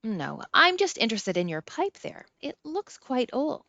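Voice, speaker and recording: A woman's voice: sits high, sing-song, sharp tone, no room sound, muffled recording, voice pushed up, full character voice. A woman voicing a pudgy, stuffy male psychiatrist in an audiobook, formal and politely probing. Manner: formal, measured, careful phrasing.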